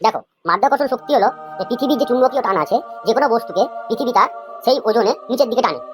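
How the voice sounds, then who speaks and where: Woman as a rapid-fire exasperated cartoon schoolteacher. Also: on the high side, expressive, over music, lightly acted. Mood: instructing. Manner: scolding, questioning. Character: exasperated, stern male schoolteacher quizzing pupils. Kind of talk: comic cartoon dialogue.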